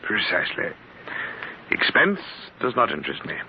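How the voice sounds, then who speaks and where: An actor playing a precise, secretive, wealthy eccentric gentleman with formal, deliberate diction in an old radio drama. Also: on the low side, wildly swooping pitch, gravelly, husky, lo-fi sound, heavily acted. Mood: insistent, precise. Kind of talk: 1950s radio drama acting. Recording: scratchy band-limited old radio broadcast.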